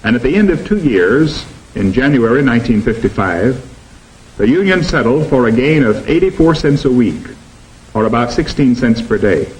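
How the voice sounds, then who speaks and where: Emphatic 1950s documentary narrator. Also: male voice, medium pitch, darkish tone, rough recording. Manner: measured, emphatic listing delivery.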